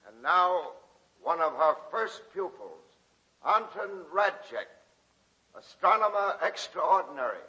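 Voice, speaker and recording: An actor playing a formal, grandiose master of ceremonies in a 1940s radio drama. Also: male voice, high voice, animated, slow, pushed and bright, dull audio, faint music behind, exaggerated voice. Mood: ceremonial. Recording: very noisy, clicking vintage radio recording.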